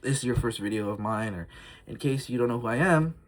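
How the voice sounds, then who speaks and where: A man's voice: mid-range voice, fairly quick. A man of twenty-one talking to his phone camera in a candid, rambling vlog, reflective and heartfelt, with frequent fillers. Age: early 20s. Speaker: vlogger. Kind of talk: casual confessional vlog.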